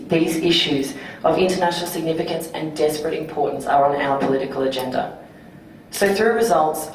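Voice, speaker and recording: A young Australian woman with a low voice delivers an earnest, measured speech. The sound is roomy and distant, picked up from across an event hall with a faint murmur of ambience underneath. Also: slight background noise. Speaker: group leader. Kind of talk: prepared speech. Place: event venue. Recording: echoey room, mic from afar.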